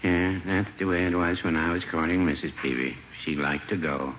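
Older small-town shopkeeper male actor, droll and hesitant, lowish voice, 1950s radio comedy with muffled, noisy sound. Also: fairly even intonation, moderate pace, close to an everyday voice. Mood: dry, hesitant. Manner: dry, hesitant, chuckling understatement. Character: mild, dry, married older shopkeeper, gently droll.

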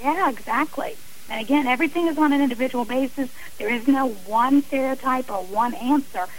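A woman with a fairly high voice talks as a guest on a radio interview. She is earnest and emphatic, and she often repeats phrases to drive a point home. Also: fairly quick, a little hiss or hum, low-quality recording.

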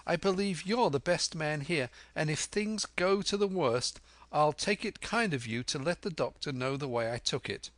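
A brave young lad , played by a grown man, speaking up in a raised, excitable voice. He declaims with urgent defiance, every phrase emphatic, like the hero of an adventure storybook. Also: on the high side, moderate pace, no room sound, voice pushed up, natural voice. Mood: earnest. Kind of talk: dramatic audiobook character voice.

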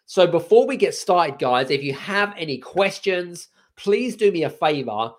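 A man hosting a podcast with a croaky voice, energetic and rambling, with many fillers and restarts. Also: high voice, a little slow. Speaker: podcast host. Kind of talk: casual chat.

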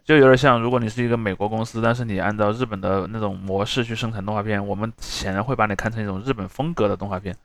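A man's voice: fairly low pitch, steady tone, fast talker, few pauses, dry recording. A man co-hosting a casual discussion podcast, explaining step by step and rephrasing as he goes. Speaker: podcast co-host.

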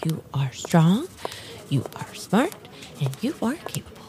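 A woman playing an anxious, flustered secret agent in an improvised audio drama, muttering nervously and haltingly. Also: on the low side, huge pitch swings, over music. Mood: anxious, self-soothing. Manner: halting, nervous, repetitive muttering and self-encouragement. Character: anxious, flustered female secret agent, talking herself through danger.

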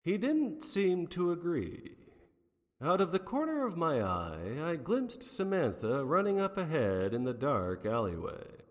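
A man's voice: fairly high pitch, very animated, unhurried. A man playing a wry, unflappable private detective in a 1940s noir radio drama, with a theatrical, wordy manner. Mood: wry, storytelling. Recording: long reverberant hall sound.

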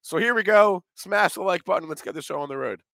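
A hoarse man hosting a live show, chatting in an upbeat way with frequent restarts. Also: high voice, lively intonation, quick pace, over music. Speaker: host. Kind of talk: casual live show talk.

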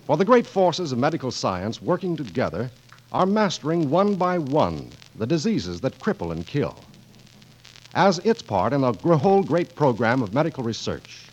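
A man's voice: medium pitch, lo-fi sound. An American man announcing on 1940s radio, reading scripted copy in a formal, polished, emphatic manner. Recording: vintage 1940s radio broadcast, music behind.

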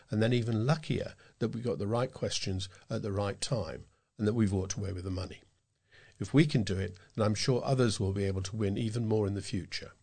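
A man reads local news from a script. His pitch is on the low side, and he presents the items as a volunteer newsreader. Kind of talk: scripted local news reading for a talking newspaper.

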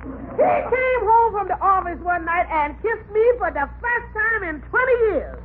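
A bossy older mother in law, very lively pitch, emphatic, tinny old radio comedy. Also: unhurried, noisy background. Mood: knowing, disapproving. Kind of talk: 1940s radio comedy acting.

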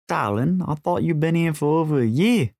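A man's voice: a little high, animated, normal speed, creaky, a little mellow, dry recording, studio quality, voice pushed up. A man voicing a brash, needling drug smuggler with an Australian accent. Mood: annoyed.

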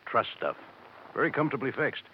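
An American actor playing a brisk, efficient insurance executive in 1950s radio drama, matter-of-fact with a folksy turn. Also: male voice, medium pitch, big pitch swings, lightly acted. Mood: businesslike. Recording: dull, faintly noisy 1950s radio recording.

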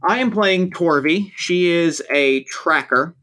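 Excited podcast host guy, kinda high voice, gushing about the game. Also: takes it slowly. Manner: eager, gushing, repeats that he is excited. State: has a cold, losing his voice. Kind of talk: casual chat.

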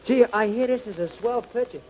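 An actor playing an eager, simple, deferential sidekick in 1940s radio style. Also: male voice, very high-pitched, fairly quick. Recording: dull, faintly noisy old radio recording.

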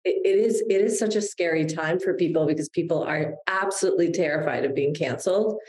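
A woman in her thirties talks in a reflective, heartfelt way, often restarting her sentences. Her voice is mellow and sits a little low. The room around her echoes noticeably. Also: a little fast. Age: 30s. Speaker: guest, publicist. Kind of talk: podcast interview.

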